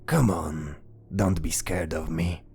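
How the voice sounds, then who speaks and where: A man voicing a gentle old monster uncle who talks soothingly to a child in a fantasy audio drama, with a husky voice. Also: extremely low pitch, fairly even intonation, voice pushed down, full character voice. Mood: gentle, reassuring. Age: older. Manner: soothing, reassuring, speaks slowly to a child. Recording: music behind the voice.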